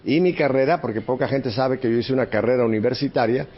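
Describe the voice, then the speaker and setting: An older man in his seventies from Mexico City talks through his memories in an unhurried, chatty way, often breaking into light laughter. His voice sits at a middle pitch. The podcast audio is a little muffled and dull. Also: a little hiss or hum. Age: 70s. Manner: chatty, warm reminiscing with frequent laughs. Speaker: podcast guest. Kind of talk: relaxed storytelling in conversation.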